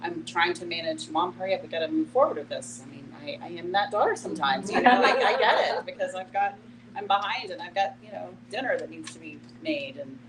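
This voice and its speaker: A woman's voice: lowish voice, lively intonation, fairly quick, a loud crowd. A middle-aged woman talking candidly and with empathy in a casual group discussion. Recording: noisy room, distant mic, hum.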